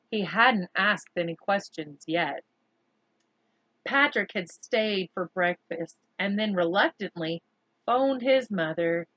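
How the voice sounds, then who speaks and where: A woman reading a chapter book aloud to children in an expressive, deliberate storyteller's way. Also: mid-range voice, no echo, muffled recording.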